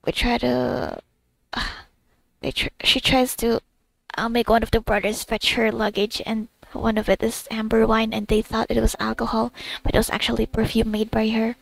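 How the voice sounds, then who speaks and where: A woman gamer giving a casual, halting, rambling recap over her gameplay. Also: on the high side, flat delivery, creaky, no echo, poor audio. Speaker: gamer recording her playthrough.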